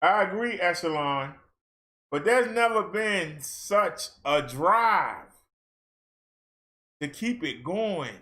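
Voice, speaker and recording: Fired-up man ranting, high bright voice. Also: animated, takes it slowly, long pauses. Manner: fired-up rhetorical questions, at times shouting; casual colloquial speech. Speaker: vlogger. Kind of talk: ranting social commentary.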